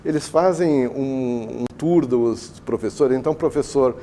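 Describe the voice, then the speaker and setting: A Brazilian man, an education consultant, gives animated, chatty answers in a TV studio interview and acts out talks with clients. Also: mid-range voice, measured pace, slight background noise.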